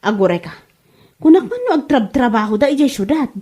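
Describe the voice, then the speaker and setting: A woman acts out a mocking village gossip with an Ilocano accent in a comedy radio drama. Her high voice is soft-toned and swings freely up and down. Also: big pitch swings, a little fast.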